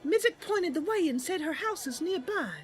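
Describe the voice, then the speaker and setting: A woman's voice: extremely high pitch, expressive, moderate pace, a little hiss or hum, voice pushed up. A woman voicing a young female wood elf adventurer, cautious, earnest and hesitant, thinking aloud in a game roleplay. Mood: cautious, uneasy. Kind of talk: in-character video game roleplay.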